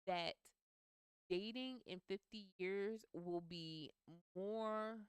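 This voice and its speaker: A woman co-hosting a relaxed couple's chat show, outspoken and playful with lively slang. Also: mid-range voice, very slow, clear, resonant tone, no echo. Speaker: co-host, business teacher.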